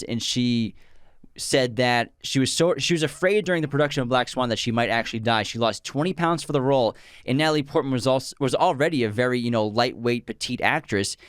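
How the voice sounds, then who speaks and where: A man's voice: medium pitch, a little fast, smooth, no room sound, clean studio sound. A young man co-hosting a podcast, chatting casually and reading out film trivia. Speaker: podcast co-host.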